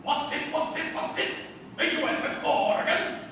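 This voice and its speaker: A man's voice: fairly even intonation, normal speed, a bit bright. An actor in a comic stage play, playing a deluded, pompous nephew who thinks he is a great military leader. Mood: excited. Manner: booming, commanding, pompous exclamations. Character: deluded nephew who believes he is Teddy Roosevelt, bombastic and military. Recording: distant echoey theatre recording with hiss.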